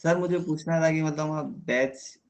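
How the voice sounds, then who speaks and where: A man's voice: fairly high pitch, steady tone, normal speed. A male student calling in to ask about joining the class, speaking hesitantly and politely. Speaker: student caller.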